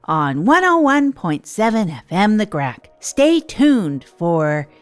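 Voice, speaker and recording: A rambling, self-deprecating radio host. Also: female voice, medium pitch, big pitch swings, slow, clean tone, soft background music. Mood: dry sign-off. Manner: rambling, hesitant, confessional. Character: self-deprecating failed-entrepreneur radio host Tog, trying stand-up comedy. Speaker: host of an advice radio show. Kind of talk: improvised comedy monologue in an audio drama.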